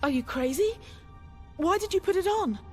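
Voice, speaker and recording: An actress playing a blunt, level-headed adventurer in a video game cutscene. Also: female voice, very high voice, lively intonation, moderate pace, over music. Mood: reproving.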